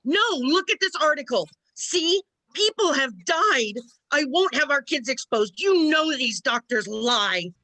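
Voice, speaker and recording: Actress as an anxious stubborn mother, highish pitch, sharp bright tone, radio drama. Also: animated, unhurried, dry recording, full character voice, poor audio. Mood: angry, defiant. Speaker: actor in a college radio play. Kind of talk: scripted radio drama acting.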